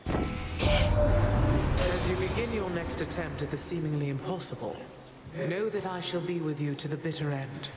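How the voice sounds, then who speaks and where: A woman's voice: lowish voice, wildly swooping pitch, measured pace, long pauses. A woman playing a proud, solemn nature goddess in a video game, speaking in a grand, formal manner. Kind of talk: scripted video game dialogue. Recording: echoing voice under loud music.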